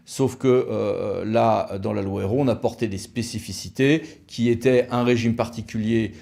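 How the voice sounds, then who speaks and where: A man's voice: on the low side, moderate pace, creaky, dark tone. A man with an accent from France giving a semi-improvised explanatory talk, didactic, stressing key words. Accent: French from France. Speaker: lawyer presenting an explainer.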